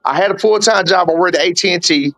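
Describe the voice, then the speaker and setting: A man's voice: sits high, fast talker, fairly bright tone, no room sound, poor audio. A man in his forties, a boxing coach, telling his life story in a candid, blunt way with slang and swearing in a casual podcast interview. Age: 40s. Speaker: boxing coach and father of a fighter.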